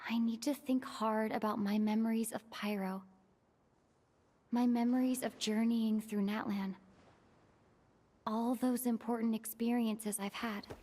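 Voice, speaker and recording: Woman acting young female traveler, slightly high pitch, sincere, careful, quietly determined, game dialogue. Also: flat delivery, measured pace, long pauses, slight background noise, natural voice. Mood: pensive. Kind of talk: video game story acting. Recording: music behind the dialogue.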